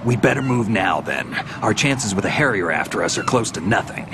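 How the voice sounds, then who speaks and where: An actor playing a cool, weary seasoned soldier in a video game, speaking in a terse, dry, laid-back way. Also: male voice, fairly low pitch, expressive, a little fast, background noise, lightly acted. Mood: matter-of-fact. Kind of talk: dramatic video game voice acting.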